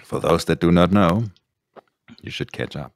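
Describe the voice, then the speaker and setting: A very deep-voiced, gravelly man hosts a podcast from his home studio with a boom mic, chatting loosely and laughing often. The sound is close and dry. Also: moderate pace, dry recording. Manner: joking, laughs often, rambling. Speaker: podcast host. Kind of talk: casual chat on a video call.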